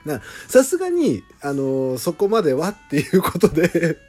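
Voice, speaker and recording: A man speaks alone in a casual podcast style, effusive and emphatic. His pitch swings widely over a mellow, slightly husky tone. He stretches words out for emphasis. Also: huge pitch swings, normal speed, faint music behind. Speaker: host, original author of a radio drama. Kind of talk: casual solo podcast talk.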